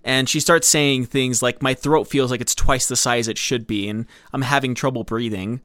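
A man's voice: mid-range voice, fairly even intonation, normal speed, fairly bright tone, no echo, clean studio sound. A man co-hosting a podcast, chatting informally and profanely about a film. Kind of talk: casual chat, film commentary.

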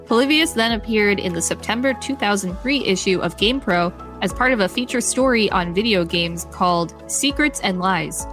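A woman's voice: mid-range voice, normal speed, few pauses, fairly bright tone. A woman reading an encyclopedia article aloud as a podcast co-host, in scripted narration with brief casual remarks. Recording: faint music behind the voice. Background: faint music.

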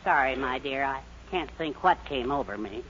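An American actor playing a meek, doting, henpecked middle-aged husband in a 1940s radio sitcom. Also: male voice, mid-range voice, expressive, normal speed, close to an everyday voice. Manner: mild, placating, hesitant. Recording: muffled, very noisy old radio recording.

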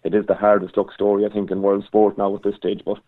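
A hoarse Irish man talking football on a call as a guest pundit, rambling with lots of restarts. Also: fairly low pitch, completely flat delivery, quick pace. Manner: rambling, stop-start, frequent restarts. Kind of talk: casual analysis over the phone. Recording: tinny phone line.

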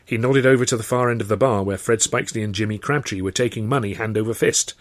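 A man's voice: lowish voice, fast talker, no room sound. A man reading a first-person novel aloud as scripted audiobook narration. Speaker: author reading his own novel, narrator.

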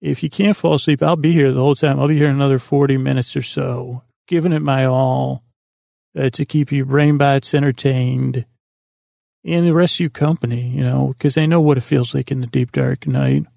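A man with a gravelly, creaky voice hosting a bedtime podcast in a meandering, halting monologue that trails off mid-thought. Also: medium pitch, steady tone, mellow, dry recording, studio quality. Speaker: podcast host.

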